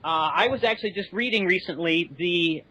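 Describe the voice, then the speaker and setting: A man's voice: high-pitched, normal speed, pushed and bright, lo-fi sound. A man speaking as a podcast guest, explaining things informally. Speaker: podcast guest, space simulation specialist.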